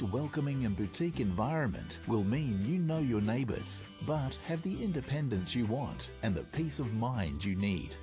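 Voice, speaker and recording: A man reading a scripted radio advertisement. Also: medium pitch. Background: music.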